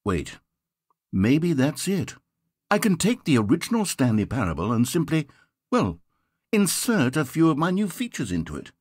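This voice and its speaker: A fussy, fretful game narrator. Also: male voice, on the high side, huge pitch swings, normal speed, darkish tone, no echo, full character voice. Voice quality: breathy, dry close-miked studio voice. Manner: polite, fretful, swinging between grandeur and self-doubt. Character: fussy, theatrical male narrator of a video game. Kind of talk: scripted game voice acting. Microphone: studio microphone.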